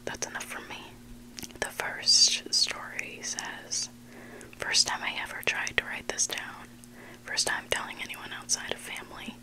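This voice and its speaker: A person whispering a story in ASMR style as a host, hushed and deliberate, pausing between short phrases. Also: low-quality recording. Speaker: ASMR host reading submitted online stories.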